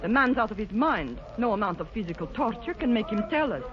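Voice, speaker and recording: A sharp-tongued female villain from 1940s radio drama. She is a spy countess who scolds with impatient sarcasm, heard through a dull old recording. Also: fairly high pitch, very animated, fast talker, a crowd in the background. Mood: scornful.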